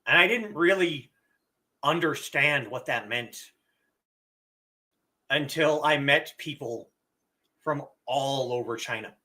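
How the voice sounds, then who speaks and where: A man's voice: mid-range voice, takes it slowly, pauses often. A man hosting a casual live stream, explaining language tones and chatting with viewers while getting over a cough. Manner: relaxed, chatty, answers chat questions. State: lingering cough from illness.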